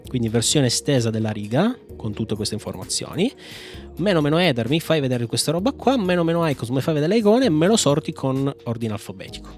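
Informal man chatting about tech. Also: medium pitch. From Italy. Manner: informal, playful, slangy, rambling. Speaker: host of a Linux video channel. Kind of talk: casual tech tutorial chat. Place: small home room. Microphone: microphone on a boom arm, close.

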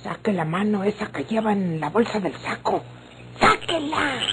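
A woman's voice: medium pitch, sing-song, moderate pace, natural voice. An actress playing a bold, defiant armed country woman with folksy Mexican speech in a radio drama. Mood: defiant, threatening. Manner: commanding, folksy rural speech. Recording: noisy old radio drama recording.

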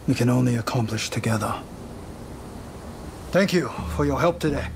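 A composed, loyal samurai retainer acted by a man with a mid-pitched, measured and formal delivery. He sounds earnest and grave, like a dramatic video game character. Clear ambient noise sits behind the voice. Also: lightly acted. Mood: grateful, hopeful.

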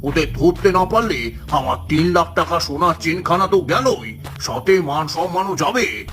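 A man's voice: a little high, expressive, moderate pace, fairly bright tone, soft background music. A man voicing a flustered, indignant stout man in a cartoon, agitated and repeating his words. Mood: angry, anxious.

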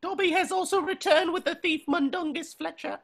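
A woman's voice: very high voice, fairly even intonation, fairly quick. A woman voicing a small, devoted male house-elf who speaks earnestly of himself in the third person. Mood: earnest, eager. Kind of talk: amateur table read of a film screenplay. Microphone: video call. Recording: faint noise on a video call.

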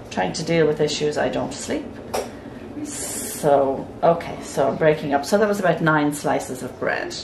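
Woman, low gravelly croaky voice, chatty cooking host, noisy echoey home kitchen. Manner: chatty, self-mocking, talks through each step. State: croaky from lack of sleep. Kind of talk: casual cooking demonstration.

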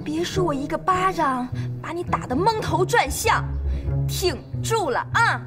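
A very high-pitched, brash young woman, speaks with a Northeastern Chinese accent. She is whiny and melodramatic, in the manner of television comedy-drama. Prominent music plays behind her. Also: lively intonation, a little slow. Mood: threatening, indignant. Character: feisty, whiny young woman apprentice, quick to cry.

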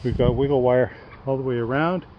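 A man's voice: mid-range voice, brisk. An older man casually narrating a walkthrough of his homestead project, outdoors, talking into a handheld camera with plenty of ums and uhs. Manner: relaxed, hesitant with many fillers. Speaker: homesteading vlogger. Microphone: handheld camera at arm's length. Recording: dull lo-fi outdoor sound with clear wind noise.

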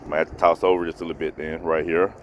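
A man's voice: a bass voice, flat delivery, quick pace. A man chats to his viewers while he fishes from a grassy pond bank. He talks through a head-mounted camera, with faint outdoor noise behind him. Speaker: fishing vlogger. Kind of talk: casual outdoor fishing commentary.

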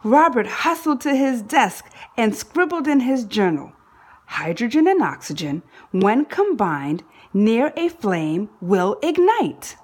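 A woman reading a children's book aloud in an expressive narrating style, phrasing deliberately and leaning on key words for drama. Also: fairly high pitch, husky. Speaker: storybook reader.

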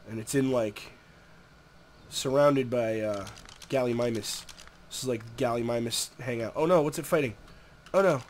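A young man talks through a video game in a relaxed, chatty way, his voice stuffy and nasal from a cold. He sits at an ordinary middle pitch and coaxes the creatures on screen as he plays. A faint noise floor hums behind him. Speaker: gameplay commentator. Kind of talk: casual gameplay commentary.

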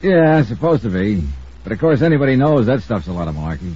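An American actor playing a wry, self-assured insurance investigator in a 1950s radio drama. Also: male voice, mid-range voice, very animated, warm tone, lightly acted. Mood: wry, confident. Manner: wry, brisk, crisply emphatic. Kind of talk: 1950s radio drama dialogue and first-person narration. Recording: muffled old radio recording, faint music.

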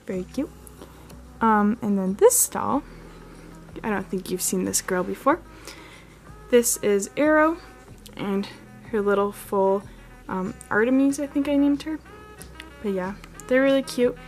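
A chatty woman at a middling pitch talks through a casual tour, filling her speech with "like" and "um". She films close on a handheld camera in a carpeted room indoors. Soft music runs faintly underneath. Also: lively intonation, a little slow. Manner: chatty, filler-heavy, frequent "like" and "um". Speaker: model horse channel host. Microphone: handheld camera filming close.

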